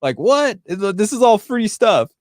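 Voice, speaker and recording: A man's voice: high voice, sing-song, takes it slowly, no echo, clean studio sound. A man born in Sri Lanka, hosting a casual tech livestream and reading viewer comments, rambling with lots of fillers. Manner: chatty, rambling, many fillers and restarts.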